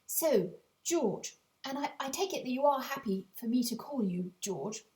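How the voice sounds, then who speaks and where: A woman voicing a genteel radio interviewer who hosts a music show, speaking in smooth, cheerful broadcaster's patter. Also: high-pitched, expressive, moderate pace, darkish tone. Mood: cheery.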